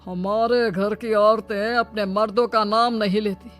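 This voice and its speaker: A man's voice: sits high, fairly even intonation, measured pace, a bit bright, faint music behind. A man playing a domineering village father-in-law, scolding and commanding in a cartoon moral story.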